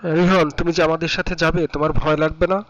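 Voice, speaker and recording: A man's voice: fairly high pitch, level tone, a little fast, a bit bright, no room sound, natural voice. A man playing a caring, cautious fisherman father in a children's cartoon. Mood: caring, questioning. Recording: muffled sound with music behind.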